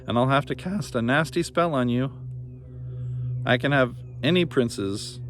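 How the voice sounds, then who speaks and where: A man's voice: medium pitch, a little slow, a bit bright, soft background music, lightly acted. A man voicing a suspicious, nasty witch in a storybook fairy tale. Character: first witch, suspicious, menacing, nasty spell-caster. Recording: noticeable background noise.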